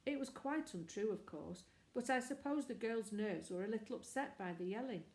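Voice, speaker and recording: Female storybook reader, expressive scripted narration, middle pitch, recorded in an echoey room. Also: normal speed.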